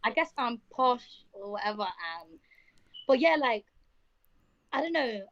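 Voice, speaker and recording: A twenty-one-year-old woman from London with a posh London accent and a hoarse voice, chatting lightly as an interview guest. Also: fairly high pitch, measured pace, no room sound, dull audio. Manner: lively, laughs easily. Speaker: rapper, guest. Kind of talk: casual interview chat.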